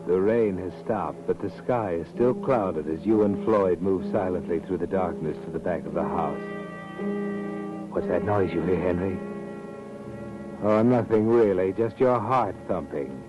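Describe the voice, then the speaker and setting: A very deep male narrator of a vintage radio mystery, ominous and mockingly sardonic. He speaks deliberately, as if he knows every secret. The sound is dull and aged, with faint music behind. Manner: sardonic, taunting, haunting deliberate delivery. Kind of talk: 1940s radio mystery narration, second person.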